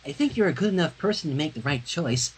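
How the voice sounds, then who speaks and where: A man voicing a timid, soft-hearted teenage girl from a cartoon fan story, gentle, pleading and hesitant. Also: on the high side, lively intonation, fast, husky. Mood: gentle, supportive. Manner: hesitant, stammering.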